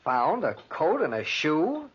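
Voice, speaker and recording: An actor in a 1940s radio drama playing a stranded hunter who is polite but wary, speaking in a hesitant, placating way. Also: male voice, a little high, huge pitch swings, takes it slowly, slightly rough, exaggerated voice. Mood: wary, probing. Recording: dull, muffled 1940s radio recording.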